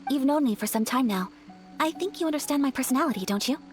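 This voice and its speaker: A woman voicing a gracious young noblewoman in a video game, speaking politely and gently with formal, earnest phrasing. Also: high voice, lively intonation, fast talker, soft background music. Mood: wistful, grateful.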